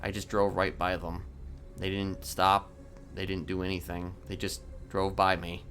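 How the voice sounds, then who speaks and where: A man playing a casually sadistic criminal in an online roleplay, talking in a halting, rambling, matter-of-fact way with casual swearing. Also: low voice, completely flat delivery, a bit bright, soft background music. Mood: rambling, self-satisfied. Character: rambling, casually sadistic male criminal confessing his violent deeds. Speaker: streamer role-playing a character. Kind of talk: improvised in-character roleplay dialogue. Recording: clear background noise.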